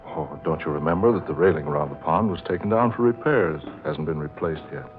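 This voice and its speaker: An actor playing a tender, mild-mannered young fiancé, polite and soothing, in 1940s radio drama style. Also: male voice, extremely low pitch, a little fast, faint music behind. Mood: evasive. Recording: tinny, hissy vintage radio recording.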